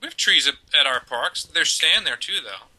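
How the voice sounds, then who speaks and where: A man's voice: on the high side, lively intonation, normal speed, sharp tone, low-quality recording. A man in his thirties from Baltimore, co-hosting a casual parenting chat over a video call from a room at home, rambling and joking at his own expense. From Baltimore, US East Coast. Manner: rambling, joking, self-mocking. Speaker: podcast co-host. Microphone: headset on a video call.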